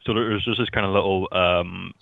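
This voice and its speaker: A man with a lowish voice, heard through a narrow, phone-like call with no room sound. He is a podcast guest chatting casually in a hesitant, rambling way. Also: steady tone, normal speed, creaky, dry recording. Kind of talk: casual chat. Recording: thin phone-like call audio.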